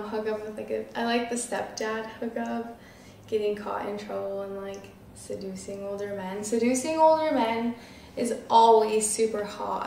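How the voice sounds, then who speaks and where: Young woman, creaky voice, echoey room. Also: a little high, a little mellow. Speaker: interview guest. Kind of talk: casual interview chat. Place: small living room, seated on a couch. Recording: roomy, distant mic, faint noise.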